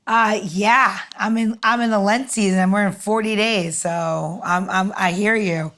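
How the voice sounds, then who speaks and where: A woman's voice: mid-range voice, fairly even intonation, unhurried, a bit bright. A woman from New York co-hosting a podcast, chatting in an informal, bantering way. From New York, United States. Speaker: podcast co-host. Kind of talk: casual chat.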